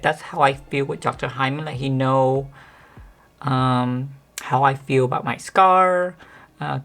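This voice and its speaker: A woman vlogger chatting casually to the camera with a monotone, deep voice that sometimes cracks, rambling with many fillers. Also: creaky. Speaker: beauty vlogger.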